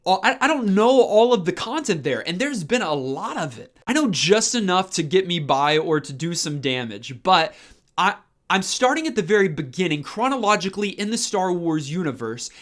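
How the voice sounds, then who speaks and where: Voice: medium pitch, sing-song, moderate pace, a bit bright. Chatty, informal book-review vlogger. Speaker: book reviewer and video host. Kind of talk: casual chatty review vlog to camera.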